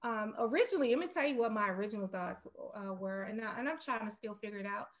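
A woman's voice: mid-range voice, animated, quick pace. A middle-aged woman talking casually about her business as an interview guest on a video call. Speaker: guest real estate investor. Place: room at home, indoors, on a video call.

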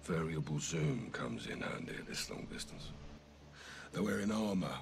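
A low-voiced, seasoned military captain gives clipped, commanding sniper callouts with dry wit, in video game style. The voice sits over clearly noisy game sound. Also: male voice, sing-song, normal speed, heavily acted. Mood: hushed, focused. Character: seasoned special forces captain, sniper, calm and wry. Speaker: squad leader.

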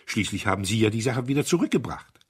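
A man's voice: lowish voice, animated, quick pace, a little mellow, breathy edge. A man voicing an eager, jovial young aristocrat in a breathless, exuberant manner for an audiobook. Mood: excited, jovial.

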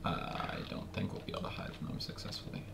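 A man with a raspy voice, casually commenting while he plays a game, joking and muttering asides. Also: fast talker. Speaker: gameplay commentator. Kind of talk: casual let's play commentary. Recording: noisy home recording with game sounds.

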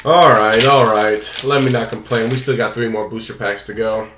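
A man's voice: lowish voice, fairly even intonation, moderate pace, roomy sound, over music. A young man chatting casually and playfully as he hosts a card-opening video, talking to viewers and his pet. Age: young adult. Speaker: host of a card-opening channel. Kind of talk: casual chat, running commentary on opening trading cards. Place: indoors, small room at home.

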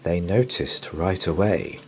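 A man reading a picture book aloud as a bedtime story, in an expressive, sing-song manner. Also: low voice, slow, slight background noise. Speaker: children's story reader. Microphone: camera above a picture book.

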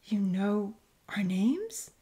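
A woman's voice: fairly high pitch, animated, moderate pace, creaky, a little mellow, voice pushed up. A woman voicing an anxious, tearful young refugee mother with a hesitant, pleading, emotional delivery in an audiobook. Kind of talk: audiobook character dialogue.

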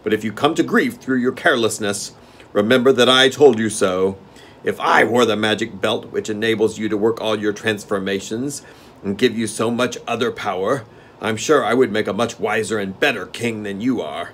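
A man voicing a gruff male gnome steward who growls and scolds his master bluntly. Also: fairly low pitch, moderate pace, a bit bright, slight background noise, close to an everyday voice. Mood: gruff, scolding.

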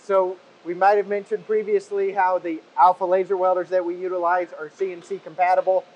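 Upbeat man hosting, higher-pitched voice. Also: little pitch movement, fairly quick, darkish tone, low-quality recording. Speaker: video host. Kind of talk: casual presenting and interviewing. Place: industrial workshop, indoors. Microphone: clip-on wireless mic on shirt. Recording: clear background noise in workshop.

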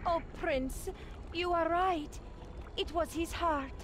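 A woman's voice: extremely high pitch, slow, fairly bright tone, a little hiss or hum, exaggerated voice. A woman voicing a spirited, proud young desert fighter in a video game, firm and dramatic. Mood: solemn.

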